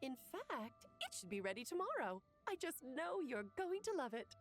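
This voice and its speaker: Doting, soft-spoken mother. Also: high voice, sing-song, normal speed, faint music behind. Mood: tender. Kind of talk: scripted game dialogue.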